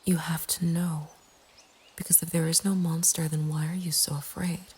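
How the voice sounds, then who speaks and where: A woman narrating a scary story in a breathy, hushed ASMR whisper, slow and deliberate. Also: sits low, level tone, a little hiss or hum. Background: nature ambience.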